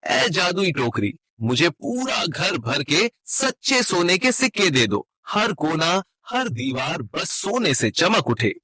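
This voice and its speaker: A man's voice: fairly high pitch, big pitch swings, slow pace, hardly any pauses, pushed and bright, slightly rough, no room sound, full character voice. A man playing a greedy village husband in a cartoon fable, eager and dramatic in his delivery. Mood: greedy, demanding. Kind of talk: cartoon moral story acting.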